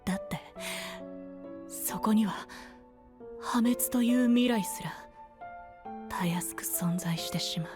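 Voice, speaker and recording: A man voicing a frail, gentle young male knight who speaks softly and half in whispers, over background music. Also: sits high, slow, pauses often. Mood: solemn. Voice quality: soft, breathy, often whispered. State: weak and tired. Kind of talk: anime voice drama acting.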